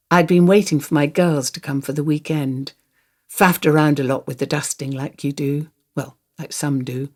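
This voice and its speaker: Low-voiced older woman, wry storyteller. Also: darkish tone. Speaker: reader of a radio play monologue. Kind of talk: dramatic first-person monologue, conversational storytelling.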